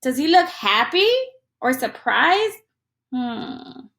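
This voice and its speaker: A woman reads a children's story in a highish voice with big swings of intonation. She takes it very slowly and in a teacherly way, checking in with her young listeners. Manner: expressive, teacherly, asks the listener questions. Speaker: storyteller reading a picture book to young children. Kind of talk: interactive children's read-aloud.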